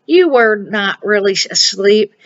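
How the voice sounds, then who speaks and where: A woman's voice: mid-range voice, lively intonation, unhurried, natural voice. A woman voicing an affectionate, teasing mother bear in a storybook. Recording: dull, muffled home recording.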